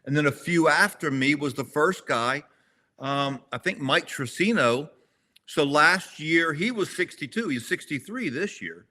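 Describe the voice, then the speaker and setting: Bright-voiced man in his sixties. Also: on the high side, expressive, measured pace. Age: 60s. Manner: rambling, frequent restarts and self-corrections. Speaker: interview guest, competitor. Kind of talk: casual conversational interview.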